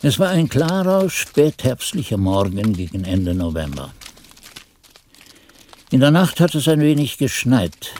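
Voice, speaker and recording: An aged monk telling his chronicle in a radio drama. The voice is soft-edged and mellow, at a middling male pitch. He speaks formally and reflectively, with solemn, measured phrasing. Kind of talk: literary radio play narration.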